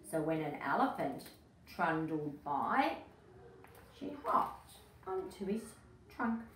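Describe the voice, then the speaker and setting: Story-time host: middle-aged woman, unhurried, expressive read-aloud for little kids, echoey room, slightly distant mic. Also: deep voice, slight background noise. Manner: expressive, emphatic, addressing young children. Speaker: early childhood educator, story-time host. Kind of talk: children's picture book read-aloud. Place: small indoor room.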